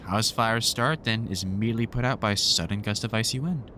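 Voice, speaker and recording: A man's voice: on the low side, fairly quick, sharp tone, slight background noise. A man playing a sardonic, protective vampire hunter in a roleplay audio drama, sweary and teasing like an exasperated but fond mentor. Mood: explaining fondly.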